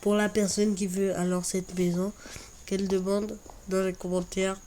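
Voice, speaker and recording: A woman with a cold and a stuffy voice, chatting slowly through a building game, often repeating herself. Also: mid-range voice, little pitch movement, warm tone, a little hiss or hum. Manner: slow, repeats words while building. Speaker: Minecraft YouTuber. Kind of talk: casual gameplay commentary.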